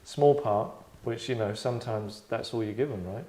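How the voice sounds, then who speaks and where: A man hosting and directing a table read, chatting casually in a tongue-in-cheek acting critique, deadpan, rambling and self-satisfied. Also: mid-range voice, normal speed. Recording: roomy, echoey indoor sound.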